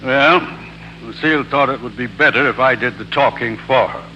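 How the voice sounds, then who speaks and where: A man's voice: mid-range voice, normal speed, sharp tone, highs cut off, natural voice. An actor playing a stiff, formal older father-in-law in a 1940s radio drama. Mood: stiff, reserved. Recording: humming, noisy 1940s radio recording.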